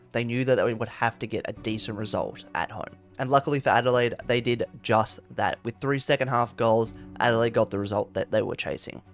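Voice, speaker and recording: A man reading scripted narration for a football history video. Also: mid-range voice.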